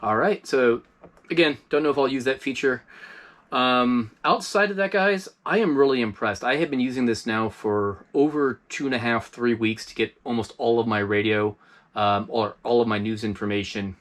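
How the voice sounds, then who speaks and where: Relaxed male host, mid-pitched, chatty product-review delivery with plenty of fillers. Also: moderate pace. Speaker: host of a preparedness review channel. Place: inside an RV.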